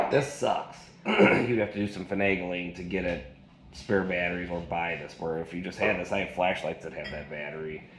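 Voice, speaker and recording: A man giving a casual hands-on gadget review in a rambling, colloquial way, speaking indoors. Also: deep voice. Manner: rambling, colloquial, folksy slang. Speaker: product reviewer. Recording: echoey room with loud noise.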